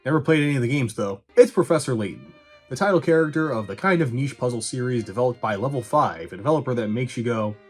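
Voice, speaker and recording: A man giving a casual movie review as a video host, blunt, conversational and quick to swear. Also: medium pitch, expressive, slightly rough. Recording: music behind the voice.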